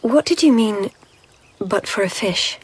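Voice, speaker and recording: Breathy, shy young court lady. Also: medium pitch, lively intonation, unhurried. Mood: curious, gentle. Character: shy, dreamy, reticent young palace lady. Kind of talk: radio drama acting.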